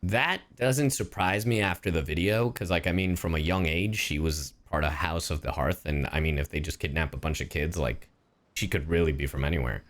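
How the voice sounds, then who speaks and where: A man's voice: extremely low pitch, a little fast. A man giving casual live-stream game commentary in a slangy, profane manner.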